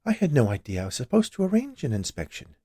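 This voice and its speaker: A man's voice: medium pitch, wildly swooping pitch, fast talker, dark tone, breathy edge, no room sound, studio quality. A man reading a story, voicing a cheerful, chatty woman who keeps a confectionery shop and naively argues. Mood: puzzled, insistent. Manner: annoyingly cheerful, rattling off lists.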